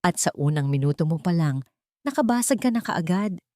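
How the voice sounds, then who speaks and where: Woman voicing a haughty, sharp-tongued rich lady. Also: lowish voice, animated, a little fast, no echo, studio quality, lightly acted. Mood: scornful accusing. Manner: contemptuous, commanding.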